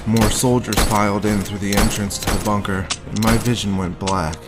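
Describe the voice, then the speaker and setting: An actor playing a grim, haunted war veteran, telling his story deliberately in a noir audio drama. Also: fairly low pitch, fairly even intonation, heavy background noise, natural voice. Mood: grim, tense. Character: haunted hard-boiled male war veteran recounting his grim past.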